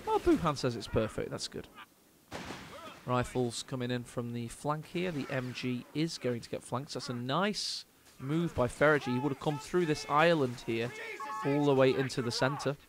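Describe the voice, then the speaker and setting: A man commentating a strategy game match with a strained voice, speaking quietly and close to the mic. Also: mid-range voice, noisy background. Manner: excitable, hyped, quick asides. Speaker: game caster. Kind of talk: esports commentary. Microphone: close desk mic.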